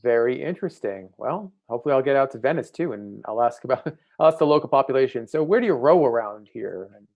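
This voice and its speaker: A man's voice: mid-range voice, expressive, quick pace, gravelly, no echo, phone-quality sound. An American man in his thirties hosting a casual livestream interview over a video call. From United States. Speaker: livestream host. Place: indoor room. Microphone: earbud headset on video call.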